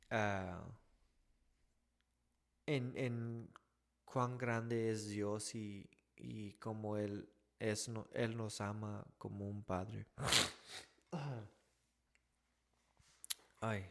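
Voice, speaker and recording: Texan guy in his 30s, deep gravelly voice, slow halting talk, drops words in. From Texas, USA. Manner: halting, restarts often, slips into English words. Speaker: podcast co-host telling his testimony. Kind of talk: casual faith testimony.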